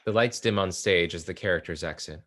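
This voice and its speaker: A man reading the stage directions aloud at a play table read, in a plain, measured manner. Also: low-pitched, a bit bright.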